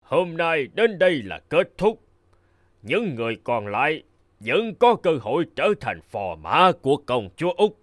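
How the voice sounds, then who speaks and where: A man's voice: a little high, very animated, unhurried, no room sound, clean studio sound. An actor playing a proud, fatherly old king in a cartoon fairy tale, with a stately, declamatory manner. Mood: calm, authoritative.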